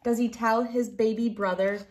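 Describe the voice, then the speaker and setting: A woman in her thirties reading a picture book aloud in an expressive storytelling manner for young children. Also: a little high, moderate pace. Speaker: children's book reader and host. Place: living room at home, indoors.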